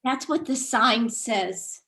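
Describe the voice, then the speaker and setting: A woman's voice: fairly high pitch, slow pace, sharp tone, exaggerated voice. A woman in a staged reading, playing a disapproving, opinionated 1960s housewife, emphatic and self-righteous. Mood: evasive. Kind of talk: staged reading of a satirical play. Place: video call.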